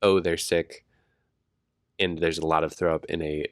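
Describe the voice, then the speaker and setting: Low, gravelly-voiced man, quietly chatting. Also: flat delivery, moderate pace, no echo, studio quality. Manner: quiet and hedging, laughs easily. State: congested. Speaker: podcast co-host. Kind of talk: casual chat. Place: home, recorded late at night.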